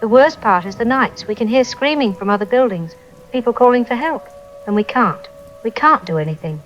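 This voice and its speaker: A woman playing a frightened, weary survivor calling in to a newscast, speaking in halting fragments over a phone line. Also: fairly high pitch, lively intonation, slight background noise. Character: frightened, exhausted woman survivor trapped by zombies. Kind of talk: phone call to news, audio drama. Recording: dull phone-line sound, rain behind.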